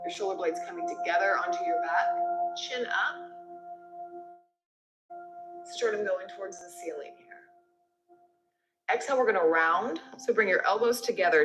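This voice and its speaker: A woman's voice: fairly low pitch, reverberant, low-quality recording. A woman instructor guiding a yoga class in a conversational, encouraging way, giving step-by-step movement and breathing cues.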